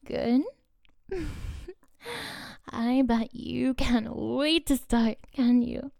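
A woman speaks slowly and teasingly, her fairly high voice carrying a gravelly fry. She keeps slipping into close whispers and soft giggles. The tone is warm and mellow, recorded very dry. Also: steady tone, no room sound. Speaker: audio content creator. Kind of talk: intimate scripted audio roleplay.

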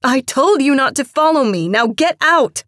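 A woman voicing an angry, bitter and dismissive jilted woman in an audiobook story, with a sharp, biting delivery. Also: fairly low pitch, takes it slowly, no room sound, clean studio sound. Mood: furious.

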